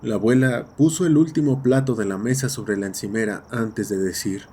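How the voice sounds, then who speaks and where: A man's voice: mid-range voice, moderate pace, darkish tone, a little hiss or hum. A man reading a scripted horror story as its narrator.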